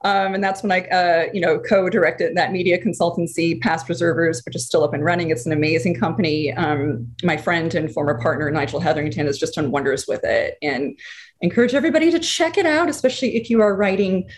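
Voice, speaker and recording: Low-voiced woman telling her story. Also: a little fast. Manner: chatty, with many fillers and restarts. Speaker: guest, screenwriter and former archaeologist. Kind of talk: casual interview storytelling.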